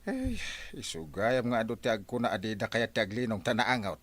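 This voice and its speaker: A grumbling village uncle from an Ilocano radio comedy. His pitch swoops widely as he exclaims and complains, and he speaks with an Ilocano accent. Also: sing-song, a little fast. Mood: complaining.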